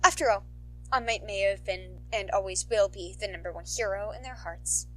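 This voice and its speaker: A woman, an amateur narrator, reading fan fiction aloud in an expressive, informal style. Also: mid-range voice, moderate pace, low-quality recording. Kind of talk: animated fan fiction narration, casual asides.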